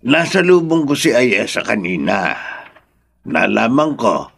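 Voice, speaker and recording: Creaky, kindly old Filipino grandfather. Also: mid-range voice, sing-song, measured pace, heavily acted. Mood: concerned, reproving. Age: older. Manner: exclaiming, questioning, gently reproving. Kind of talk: Filipino radio drama acting.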